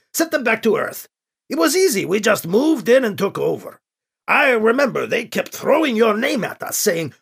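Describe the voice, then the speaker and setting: A man's voice: sits high, vocal fry, fairly bright tone, husky, voice pushed up, exaggerated voice. A man voicing a brusque, blustery male military commander in an audiobook, with a barking, mocking delivery. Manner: barking, clipped. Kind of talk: audiobook character acting.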